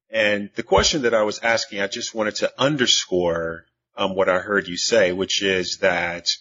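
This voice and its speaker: A man's voice: deep voice, a bit bright. A male interviewer who asks questions hesitantly, with many fillers and restarts. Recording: dull lo-fi recording.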